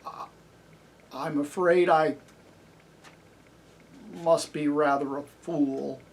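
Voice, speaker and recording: A man voicing a proud, pompous, nervous talking horse in a storybook reading, blustering and stammering. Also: unhurried. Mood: shaken, humbled. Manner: stammering, pompous, high and excitable.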